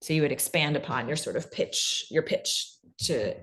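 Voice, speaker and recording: A woman with a slightly low voice speaks as a panelist in an informal instructional talk. She is chatty as she explains, peppering her sentences with "like". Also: normal speed, a bit bright, rough recording. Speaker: panelist, fiction podcast expert.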